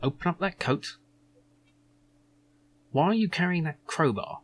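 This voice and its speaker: A man's voice: fairly high pitch, animated, a little slow, a little hiss or hum, voice pushed up. A man voicing an officious, fussy jobsworth guard in an adventure game. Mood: stern. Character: officious jobsworth security guard searching visitors.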